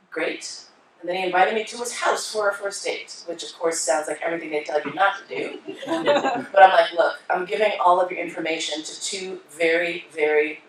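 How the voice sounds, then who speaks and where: A woman's voice: lowish voice, slight background noise. A woman giving a keynote talk to a live audience, telling her story in a frank, profane and comic way. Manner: frank, profane and comic, acting out her own past lines. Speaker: keynote speaker. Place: conference hall. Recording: roomy hall, voice from far off.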